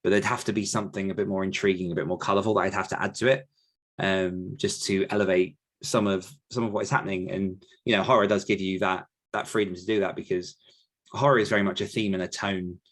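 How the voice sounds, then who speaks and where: Guy, lowish voice, author chatting on a podcast, thinks out loud and keeps pausing for words. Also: fairly even intonation. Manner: thoughtful, halting, searching for words. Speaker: podcast guest author answering questions. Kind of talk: casual reflective interview talk.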